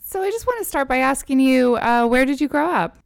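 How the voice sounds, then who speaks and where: A woman's voice: high-pitched, animated, a little fast, gravelly, dry recording, studio quality. A woman hosting a conversational interview, asking informal questions and putting in short interjections. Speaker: host, physician interviewer.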